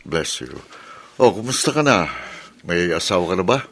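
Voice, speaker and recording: An affable, trusting Filipino executive of middle age in a video drama, played by an actor. His voice sits fairly low and he talks in an easygoing way, dropping in English phrases. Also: big pitch swings, moderate pace, fairly bright tone, slight background noise, full character voice. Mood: friendly. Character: affable, trusting older company executive, the godfather. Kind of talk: scripted Tagalog video drama acting.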